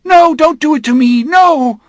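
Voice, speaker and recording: A man's voice: very high voice, unhurried, no room sound, studio quality, pitched above the performer's own voice. A man voicing a terrified young male apprentice who screams desperately in an audiobook.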